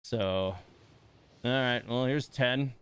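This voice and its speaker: Clear-voiced man streaming a game. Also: mid-range voice, slow, a bit bright. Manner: exasperated, muttering asides to himself and viewers. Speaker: livestream host playing a gacha game. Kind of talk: casual livestream chat and commentary.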